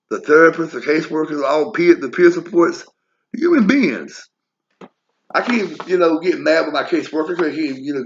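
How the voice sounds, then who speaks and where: Man in his forties, rambling monologue, blunt and profane, slightly high voice, muffled lo-fi sound. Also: a bit bright. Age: 40s. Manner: blunt, profane, wandering asides. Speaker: vlogger. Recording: lo-fi muffled audio.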